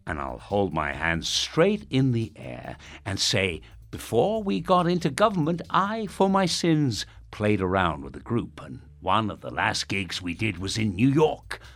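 An Irish actor playing a self-important, manipulative radio host and politician, telling stories in a pompous, theatrical way. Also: male voice, sits low, big pitch swings, measured pace, a bit bright, exaggerated voice. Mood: boastful, showy. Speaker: actor in an audio drama. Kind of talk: audio drama acting as a radio host.

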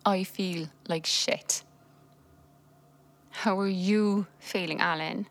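A woman's voice: mid-range voice, steady tone, takes it slowly. A woman acting out a seriously ill wife and mother in an intimate screen drama. She sounds worn out and speaks bluntly, pressing hard when she insists. Her voice sits in a middle range. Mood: insistent. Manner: weary and blunt, swings to forceful insistence.

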